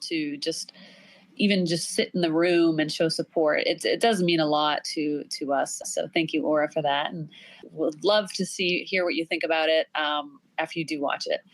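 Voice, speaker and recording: Low-voiced woman hosting a casual chat. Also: dry recording. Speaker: podcast host. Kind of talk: casual interview discussion.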